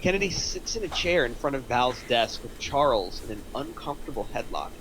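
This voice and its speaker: A man's voice: mid-range voice, lively intonation, a little fast. Man narrating screenplay directions. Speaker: stage-direction reader. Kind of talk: screenplay narration at table reading. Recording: faint room noise.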